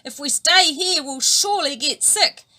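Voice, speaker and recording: A woman's voice: high-pitched, moderate pace, pushed and bright, pitched above the performer's own voice. Woman doing a brave caring eel leader. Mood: urgent.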